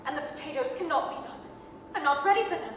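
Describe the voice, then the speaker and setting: A woman's voice: high voice, fast, close to an everyday voice. A woman playing a fussing, teasing, affectionate mother in a radio-style staged reading. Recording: very echoey hall, distant, faint hiss.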